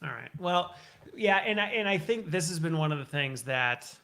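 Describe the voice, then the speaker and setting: Inquisitive man with a bright, forceful voice. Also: on the high side, animated, normal speed, gravelly. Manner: talkative, inquisitive, recaps and checks back. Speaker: show host and interviewer. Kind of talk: conversational interview, thinking aloud.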